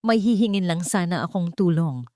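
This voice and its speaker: A woman's voice: fairly low pitch, lively intonation, normal speed, dark tone, no room sound, studio quality, natural voice. A woman voicing an earnest, deferential younger brother, serious and respectful, in a narrated romance drama. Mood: earnest, pleading.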